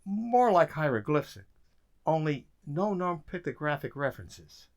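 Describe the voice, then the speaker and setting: An actor playing a weary, dry-witted government codebreaker in an emphatic radio drama style. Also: male voice, fairly high pitch, very animated, unhurried. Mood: weary, frustrated.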